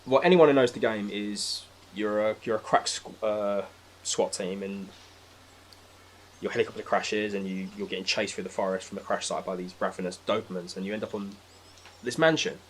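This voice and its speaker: A man's voice: on the low side, steady tone, normal speed, a little hiss or hum. A man chatting casually as a podcast guest about films and games, informal and descriptive. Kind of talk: casual film and game chat.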